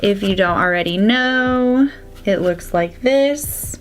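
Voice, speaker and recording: A young woman with a slightly congested, raspy voice chatting casually through a makeup tutorial. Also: medium pitch, lively intonation, slow, soft background music. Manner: chatty, drops to soft whispers at times. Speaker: beauty vlogger.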